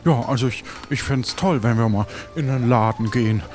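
A man's voice: medium pitch, brisk, a little mellow, faint music behind. A man improvising as an affable pirate and former tavern keeper, polite and hesitant, often stammering on his first words. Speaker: player in an improvised pirate audio adventure. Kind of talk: improvised in-character role-play dialogue.

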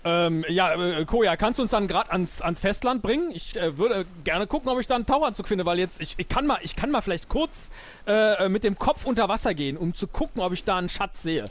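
A man's voice: sits high, animated, brisk. A man improvising an eager, hasty treasure-hunting adventurer in an audio drama, chatty and stammering as he thinks aloud.

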